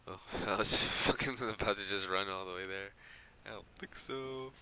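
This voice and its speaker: A man's voice: on the low side, normal speed, pushed and bright, a little hiss or hum. A man giving casual live gameplay commentary as a streamer, slangy and given to swearing and mimicry. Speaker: game streamer.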